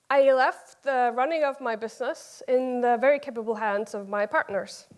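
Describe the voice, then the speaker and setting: High-voiced Icelandic woman giving a talk. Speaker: conference speaker. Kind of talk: informal conference presentation, personal storytelling.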